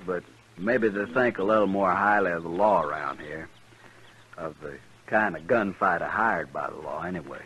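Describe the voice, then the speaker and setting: An actor playing a gruff, fair-minded Texas cattle drover with a folksy in a 1950s radio western. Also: male voice, deep voice, normal speed, a bit bright. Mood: friendly, wry. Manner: plain, folksy. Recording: noisy old radio recording.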